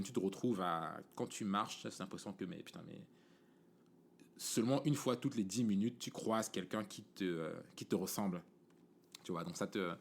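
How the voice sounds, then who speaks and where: A man's voice: fairly low pitch, slight background noise. A man in his forties from Cameroon with a Cameroonian French accent, hosting a podcast in a casual, rambling monologue full of fillers and "tu vois" asides. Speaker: podcast host.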